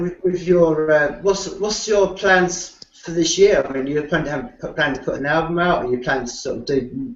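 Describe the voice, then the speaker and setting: A male interviewer, asking questions casually with many hesitant false starts. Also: mid-range voice. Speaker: radio show host interviewer. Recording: roomy, dull lo-fi sound.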